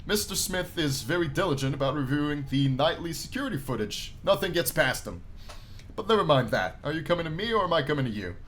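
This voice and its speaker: A man voicing a smooth, self-assured, flirty female animatronic bear manager in a visual novel. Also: fairly high pitch, very animated, fast, a bit bright, a little hiss or hum. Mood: breezy, flirty.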